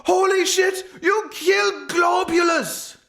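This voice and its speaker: A man's voice: very high-pitched, steady tone, takes it slowly, gravelly, bright, forceful tone, voice pushed up, exaggerated voice. A man voicing friendly, easygoing space aliens in a chirpy, exaggerated way for a comic story. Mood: chirpy, casual.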